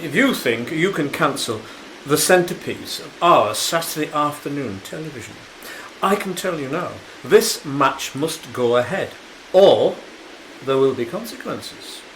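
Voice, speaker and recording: An actor playing a pushy, threatening television executive in a radio play, exasperated and emphatic. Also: male voice, a little high, animated, measured pace, a bit bright, a little hiss or hum, rough recording. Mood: exasperated menace. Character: pushy, menacing male television sports executive.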